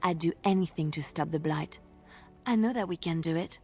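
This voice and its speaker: A woman's voice: low-pitched, moderate pace, faint music behind, close to an everyday voice. An actress playing a devout, dreamy young woman bard in a video game, earnest and wistful. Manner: earnest, wistful, drops to a whisper.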